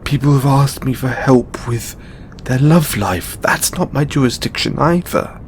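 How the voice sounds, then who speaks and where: A man playing a weary, burdened god in an intimate audio roleplay, speaking haltingly with sighs and long pauses. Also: medium pitch, expressive, a little hiss or hum. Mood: weary, frustrated. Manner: halting, sighing, with drawn-out pauses. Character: weary, burdened male god confiding in his lover. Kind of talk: audio roleplay monologue, intimate dramatic acting.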